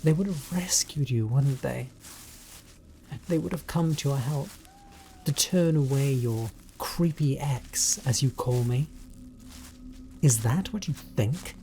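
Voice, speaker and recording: A man with a British accent playing an obsessive, bitter spurned stalker in a horror audio roleplay, speaking in a deliberate, coldly taunting way. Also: mid-range voice, dark tone, slight background noise, poor audio.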